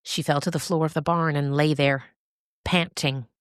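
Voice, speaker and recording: A woman with a low voice tells a story as a podcast narrator. Her phrasing is expressive and deliberate, with dramatic pauses, in a close, dry recording. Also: clear, resonant tone, no echo.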